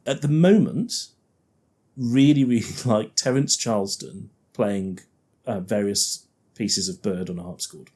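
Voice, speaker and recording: A man's voice: on the low side, a little slow, darkish tone, breathy edge. A man with a Liverpool accent, a guest organist chatting casually on a podcast, often laughing and thinking aloud.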